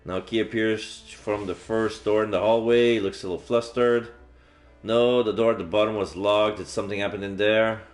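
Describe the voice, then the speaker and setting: A man streaming a game, reading a visual novel's story aloud in a measured, narrative manner. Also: on the low side, fairly even intonation. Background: faint game music.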